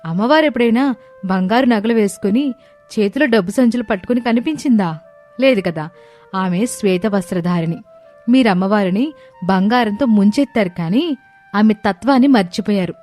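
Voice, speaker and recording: A woman acts a devout, gentle eldest daughter-in-law in a scripted moral story. She explains things earnestly and wisely at a middle pitch, with faint music underneath. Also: animated, moderate pace, close to an everyday voice. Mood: gently instructive.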